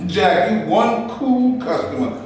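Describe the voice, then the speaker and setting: A man's voice: sits high, lively intonation, slow, darkish tone. A man playing an indignant, sarcastic, defiant movie actor in a staged reading, with a mocking, exclamatory delivery. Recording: echoey live room, distant camera, noisy.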